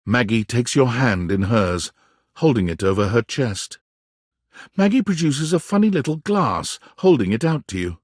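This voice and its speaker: Man narrating with a lively voice. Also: medium pitch, normal speed, slightly rough, no room sound, clean studio sound. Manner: wry, storybook-style delivery. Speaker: game narrator. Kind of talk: scripted second-person narration.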